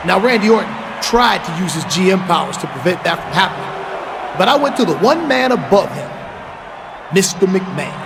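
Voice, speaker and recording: A man's voice: sits high, big pitch swings, moderate pace, crowd noise, noisy background. A man playing a cocky, brash wrestler in a video game, boastful and emphatic. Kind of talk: video game cutscene acting.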